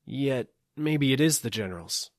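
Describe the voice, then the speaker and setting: A dutiful, plodding policeman played by a male narrator reports to his superior in a storybook. He sounds earnest and deferential, in a mid-pitched voice with a dry, close recording. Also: lively intonation, normal speed, no echo, lightly acted. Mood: musing. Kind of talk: audiobook character acting.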